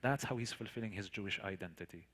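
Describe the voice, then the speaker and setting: A man's voice: fairly low pitch, quick pace, fairly bright tone. A man with a Palestinian Arabic accent, a native Arabic speaker, tells a personal story to listeners as a guest speaker, in an earnest, emphatic manner with rhetorical questions and repetition. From Palestinian territories. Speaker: guest speaker, tour guide. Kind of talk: personal storytelling in a public talk.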